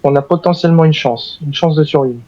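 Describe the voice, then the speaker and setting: Guy roleplaying a squad survivor, a bit formal, highish voice, slightly muffled mic. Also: fairly quick, darkish tone, no room sound. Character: last survivor of a military squad. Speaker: player in a zombie survival roleplay. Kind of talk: in-character gaming roleplay chat.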